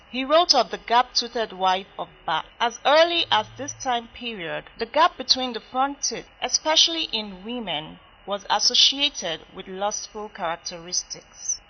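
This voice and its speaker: A woman reading a scripted news voice-over in a measured, explanatory way. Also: fairly high pitch, bright, forceful tone. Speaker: news reporter. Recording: slightly dull lo-fi broadcast audio.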